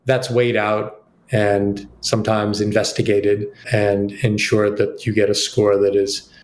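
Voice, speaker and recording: A man, a company co-founder, talking in an interview in a businesslike, sales-pitch manner with frequent fillers. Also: monotone, measured pace, reverberant. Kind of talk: interview answers.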